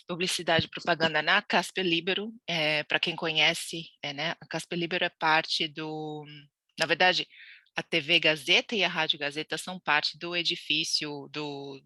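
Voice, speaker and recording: A woman's voice: sits low, sharp tone, dry recording. A woman from Brazil, a podcast guest telling her immigration story in a chatty, laughing way with many restarts. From Brazil (Santos). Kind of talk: casual interview chat.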